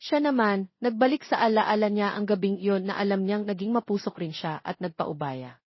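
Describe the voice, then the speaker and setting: A woman's voice: mid-range voice, moderate pace, no echo, muffled recording. A woman narrating romance fiction as a scripted, dramatic story reading.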